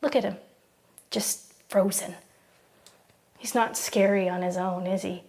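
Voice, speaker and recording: A woman playing a hurt but resolute young woman in an audio drama, at an ordinary pitch. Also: steady tone, unhurried, a little mellow, lightly acted. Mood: bitter, reflective. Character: wounded but resolute young woman confronting her abuser.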